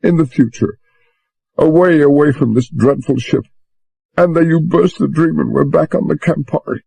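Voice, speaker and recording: A man's voice: on the high side, moderate pace, vocal fry, dark tone, slightly rough, pitched above the performer's own voice, low-quality recording. A man voicing a frightened young woman who pleads through tears, breathless and near sobbing. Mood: pleading.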